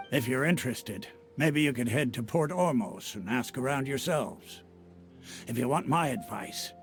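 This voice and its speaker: A gravelly mercenary chief. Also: male voice, medium pitch. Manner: measured, wry, explanatory. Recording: game music clearly behind.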